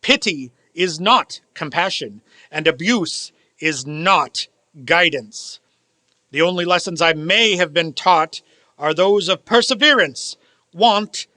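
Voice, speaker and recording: Man playing a wealthy old miser, radio play, high sharp voice, cold, scornful, formal diction. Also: exaggerated voice. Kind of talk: stage radio drama acting.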